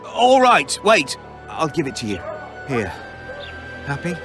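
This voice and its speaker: An actor voicing a defensive, evasive shopkeeper with a wheedling manner in a fantasy game. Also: male voice, fairly high pitch, huge pitch swings, takes it slowly, music in the background, heavily acted. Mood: panicky. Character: shifty, defensive male incense shopkeeper. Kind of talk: fantasy video game acting.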